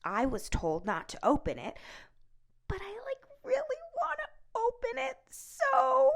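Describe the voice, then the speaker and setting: Actress as naive mythic young woman, high, slow, animated, hesitant, girlish, tempted, audio drama. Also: gravelly, full character voice. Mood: wistful. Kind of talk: amateur audio drama acting.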